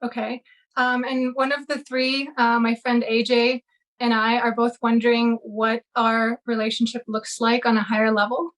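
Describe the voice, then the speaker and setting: A woman in the audience asking a question, answering in brief, halting replies. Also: fairly high pitch, flat delivery, fairly bright tone, low-quality recording.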